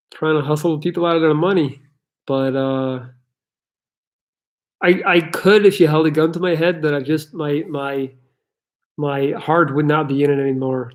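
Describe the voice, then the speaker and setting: Blunt Belgian man with an American-sounding accent. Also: fairly high pitch. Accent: American-sounding English. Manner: blunt and candid, loose with swearing. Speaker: livestream host answering chat questions. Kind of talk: casual live Q&A chat. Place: his parents' house.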